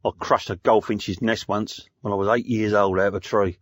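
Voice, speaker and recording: An actor playing a reformed career criminal as a radio guest, blokey, laconic and matter-of-fact. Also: male voice, fairly low pitch, steady tone, normal speed, dry recording, lightly acted. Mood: mock-contrite. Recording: slightly dull, dry radio sound.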